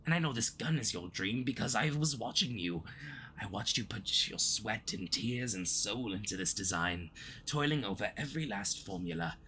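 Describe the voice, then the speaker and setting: A man's voice: fairly low pitch, expressive, normal speed, bright, forceful tone, a little hiss or hum. A man playing a smug but easily flustered, obsessive supervillain in a flirty, theatrical roleplay addressed to the listener, with awkward stammering slips. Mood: intense, sympathetic.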